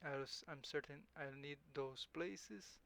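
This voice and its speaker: Man, Portuguese first language, unhurried, slightly high voice, faint background hiss. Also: creaky. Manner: slow, musing, hesitant, self-correcting. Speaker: game streamer. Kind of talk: thinking aloud while playing.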